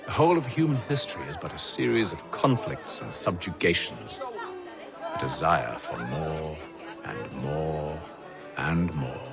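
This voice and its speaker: An actor playing a confident, worldly gentleman leader in a game cutscene, speaking eloquently with dry wit. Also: very deep voice, animated, vocal fry, music in the background, a crowd in the background, exaggerated voice. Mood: philosophical, stern. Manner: measured, eloquent, dryly wry. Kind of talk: dramatic game cutscene acting.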